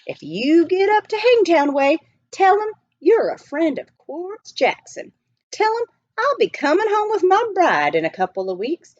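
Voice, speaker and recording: A woman voicing a friendly, rough-hewn young gold miner in folksy frontier dialect for a children's storybook. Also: very high-pitched, wildly swooping pitch, unhurried, no echo, lo-fi sound, pitched above the performer's own voice, heavily acted. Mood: chatty. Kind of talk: children's storybook read aloud.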